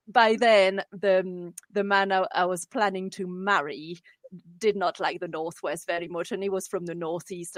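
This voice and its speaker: A woman in her forties speaks in a lowish voice with a French accent mixed with Geordie. She is a native French speaker, telling her own story in a conversational, self-deprecating way. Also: no echo. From France. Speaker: guest, primary school French teacher. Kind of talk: casual interview, personal storytelling.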